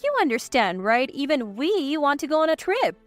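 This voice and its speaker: Female narrator as defiant wife, sarcastic, firm, lively high voice, audio story. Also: moderate pace, dry recording, faint music behind. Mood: exasperated. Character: sharp-tongued, defiant young mother and wife.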